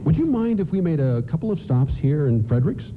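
A polite, frazzled father in a radio drama. He stammers and hesitates, his high voice jumping up and down. The sound is a narrow, noisy old broadcast. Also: sing-song, quick pace. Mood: sheepish, grateful. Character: frazzled, polite married father stranded in a snowstorm. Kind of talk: radio play acting.

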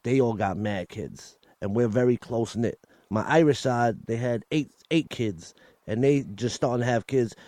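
A man's voice: mid-range voice, moderate pace, dark tone, no echo. A man from Coney Island with a New York accent, talking candidly as an interview guest and often repeating himself and restarting. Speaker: guest, battle rapper. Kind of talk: casual interview talk.

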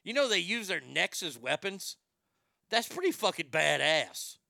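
A middle-aged man hosting a radio show, telling crude, brash stories in a high tenor voice. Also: measured pace, vocal fry, no echo, studio quality. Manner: crude, brash, animated storytelling with profanity. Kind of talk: casual storytelling monologue.

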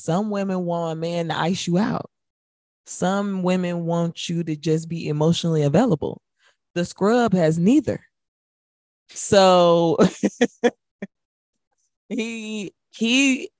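A woman's voice: medium pitch, darkish tone, no echo, clean studio sound. A young adult woman co-hosting a radio show, chatting casually about music in an emphatic, sassy, colloquial and opinionated way.

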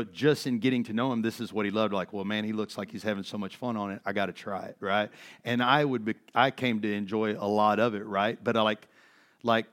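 Earnest pastor with a worn voice. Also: male voice, on the low side. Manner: conversational, earnest, lots of fillers and restarts. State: voice worn from overuse. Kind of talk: sermon.

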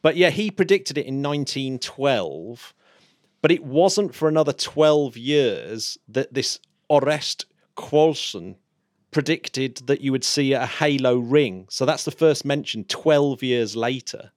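A man hosting a space podcast in a casual, chatty way, laughing often and throwing in quick asides. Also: medium pitch, expressive, no room sound, studio quality. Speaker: podcast host. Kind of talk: casual chat.

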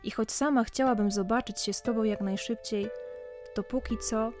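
A woman's voice: on the high side, fairly even intonation, normal speed, over music, natural voice. A woman playing a witty, affectionate wife reading her letter aloud in a radio play, in a wry, literary manner. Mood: fond, wry.

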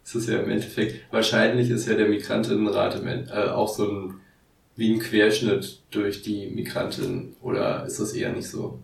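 A young man in his twenties hosting a casual podcast, with a low, gravelly voice. He asks questions in a relaxed, friendly way, heard from some distance in an echoey room. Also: normal speed. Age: 20s. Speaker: podcast host. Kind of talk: casual interview. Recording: roomy echo, mic at a distance.

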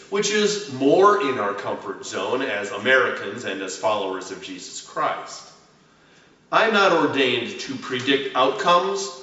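A man preaching a prepared sermon as a pastor, with measured, deliberate phrasing, emphatic stresses and falling line endings. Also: medium pitch, bright, forceful tone. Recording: very echoey room, distant mic, slightly dull sound.

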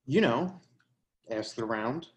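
A woman's voice: extremely low pitch, animated, slow, exaggerated voice. A woman playing a polite, flustered and chatty newcomer in a stage play reading, a little out of breath. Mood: matter-of-fact. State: out of breath, acted. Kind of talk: readers theatre play reading.

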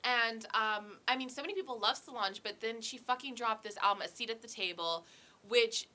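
Congested young woman, bright and blunt. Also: a little high, moderate pace. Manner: blunt, swears freely, animated. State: stuffy nose from a cold. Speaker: co-host. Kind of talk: casual chat.

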